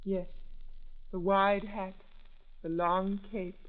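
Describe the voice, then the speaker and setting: A dreamy, excitable teenage girl, acted by a woman, speaks earnestly in a medium-pitched voice. It is theatrical old-time radio acting, heard on a narrow, lo-fi vintage recording. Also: slow, close to an everyday voice. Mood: awed, dreamy.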